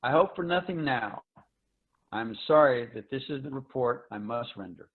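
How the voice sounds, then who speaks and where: A man's voice: mid-range voice, lively intonation, normal speed, close to an everyday voice. Phone-thin voice of a resigned envoy, male actor. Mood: weary resignation. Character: weary, resigned male envoy of a dying Earth. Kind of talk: dramatic reading of a science fiction story. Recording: thin phone-like video call audio.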